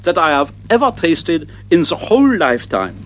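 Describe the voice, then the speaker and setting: A man voicing a polite, gentle male giraffe newly arrived from abroad. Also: fairly high pitch, very animated, moderate pace, a little hiss or hum.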